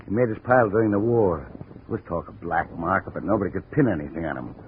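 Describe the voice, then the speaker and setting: Low, animated police sergeant. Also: male voice, quick pace. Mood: brisk, businesslike. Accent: American. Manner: clipped, matter-of-fact, colloquial. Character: brusque but cooperative American police sergeant. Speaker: actor. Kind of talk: 1950s radio drama acting. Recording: tinny, noisy 1950s radio recording.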